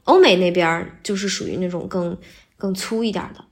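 A woman's voice: fairly low pitch, fairly quick, husky, soft background music. A woman guest on a podcast, a voice actor, chatting casually in a candid, self-effacing way. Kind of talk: casual interview chat.

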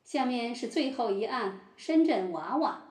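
Woman doing formal court crier in a radio play, higher voice, drawn out, echoey. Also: lively intonation, measured pace, darkish tone. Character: formal, solemn court announcer. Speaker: announcer. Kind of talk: scripted audio drama. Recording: strong room echo.

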